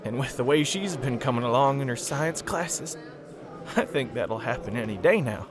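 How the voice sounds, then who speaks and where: A man's voice: mid-range voice, lively intonation, normal speed, a crowd in the background, close to an everyday voice. A man playing an easygoing, joking stallion worker and proud family man in casual audio drama banter. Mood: happy, proud.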